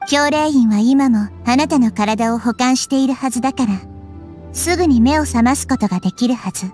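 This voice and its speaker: A woman voicing a wise, childlike young goddess in an anime game, calm, measured and gently authoritative. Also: high voice, natural voice. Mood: composed, stern. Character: wise, composed childlike little goddess girl. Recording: music under the voice.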